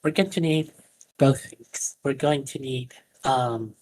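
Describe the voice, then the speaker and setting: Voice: medium pitch, takes it slowly, a little mellow, a little hiss or hum, poor audio. Earnest voice coach, native Spanish speaker. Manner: earnest, explanatory, with many fillers and restarts. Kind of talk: teaching a voice training class on a live stream.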